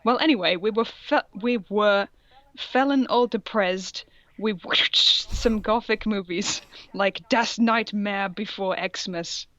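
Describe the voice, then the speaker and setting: Woman doing a jokey read-aloud with snarky asides, medium pitch, dry sound, slightly lo-fi mic. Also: slow pace, a bit bright, dry recording. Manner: playful, mocking, puts on voices for quoted dialogue. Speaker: co-host reading fan fiction aloud. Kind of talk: casual reaction read-aloud with joking commentary.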